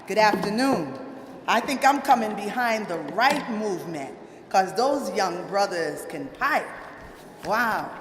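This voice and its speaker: A woman's voice: medium pitch, expressive, takes it slowly, background noise. A middle-aged woman from Montserrat giving an informal, motivational public speech, playfully putting rhetorical questions to her audience. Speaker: guest speaker, political chief of staff. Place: large hall at a live event. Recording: echoey hall with faint crowd.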